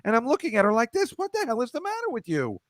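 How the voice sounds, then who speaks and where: Lively, animated joking man. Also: very high-pitched, fast talker, no room sound, studio quality. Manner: animated, jokey, slips into impressions. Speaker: comedian, show regular. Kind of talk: casual banter.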